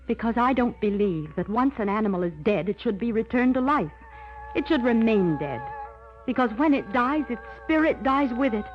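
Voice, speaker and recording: A woman acts out a scientist's anxious, principled daughter in a vintage radio thriller. She pleads and warns in a fairly high voice. The recording is muffled, with faint music behind. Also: lively intonation, normal speed, lightly acted. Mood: foreboding. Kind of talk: old-time radio drama acting.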